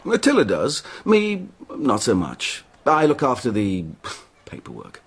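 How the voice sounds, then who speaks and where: A clever, courteous negotiator in a radio drama. His delivery is wry and deliberate, with very animated, swooping intonation at a medium pitch. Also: vocal fry, slightly rough, heavily acted. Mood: wry, courting. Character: clever, persuasive, courteous nomad official and suitor. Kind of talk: intimate radio drama dialogue.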